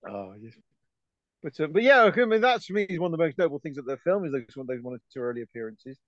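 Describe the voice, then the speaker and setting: A man's voice: a little high, very animated, fast talker, no room sound. A man co-hosting a casual film podcast who laughs often and trails off into fillers. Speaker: podcast co-host. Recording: dry, dull lo-fi sound.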